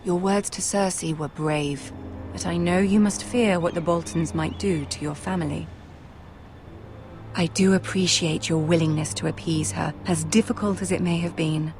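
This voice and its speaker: Actress as a low-voiced, regal young noblewoman. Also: steady tone, background noise, lightly acted. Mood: gracious, weary. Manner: measured, regal, composed. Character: poised young noblewoman, future queen, shrewd and courteous. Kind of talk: cinematic video game voice acting.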